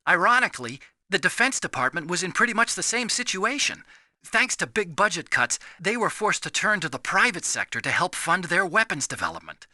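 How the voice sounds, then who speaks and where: An actor playing an earnest, nerdy young American engineer in a video game, explaining things emphatically with anxious sincerity. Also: male voice, high voice, bright, forceful tone, dry recording, studio quality, heavily acted. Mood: eager explaining. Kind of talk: dramatic video game voice acting.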